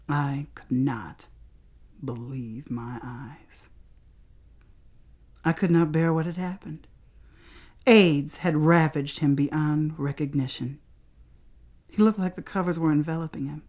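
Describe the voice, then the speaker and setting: An American woman performing dramatic spoken-word storytelling in a theatrical way, with deliberate pauses and emphasis. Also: sits low, darkish tone.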